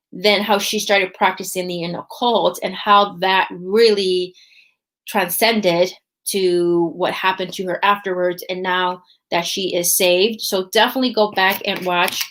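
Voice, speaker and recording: A woman's voice: fairly low pitch, steady tone, unhurried, fairly bright tone. A woman hosting a faith podcast in a casual, talkative way, often tagging her sentences with "right?". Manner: chatty, often tags lines with "right?". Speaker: podcast host.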